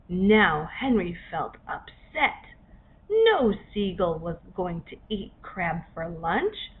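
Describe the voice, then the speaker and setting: A woman narrating a children's story expressively, in deliberate short phrases with dramatic pauses. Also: lowish voice. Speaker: author reading own story. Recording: dull lo-fi recording, faint noise.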